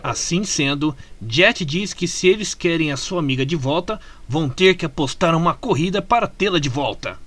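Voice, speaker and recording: A man's voice: fairly high pitch, expressive, normal speed, hardly any pauses, fairly bright tone. A Brazilian man with a Brazilian Portuguese accent narrating a comic story in an informal storytelling style. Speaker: narrator of a fan comic dub. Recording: music behind the voice. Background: background music.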